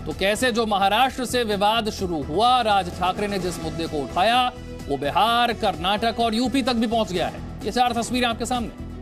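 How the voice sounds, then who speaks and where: A man's voice: sits high, normal speed. A man presenting television news as an anchor, explaining developments directly to viewers. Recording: music bed under the voice.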